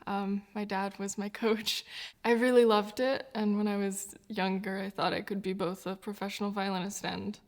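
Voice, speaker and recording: Soft-spoken young woman, reflective, laughing often. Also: medium pitch, flat delivery, normal speed. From New Zealand, raised in the United States. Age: 20s. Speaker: violinist. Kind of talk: documentary interview.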